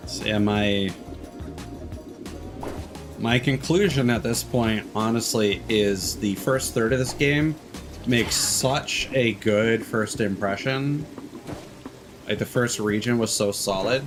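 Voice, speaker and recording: Low-voiced man casually streaming a game. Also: slow pace, pauses often. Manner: candid, rambling, swears casually. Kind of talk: casual live gameplay commentary. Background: game music.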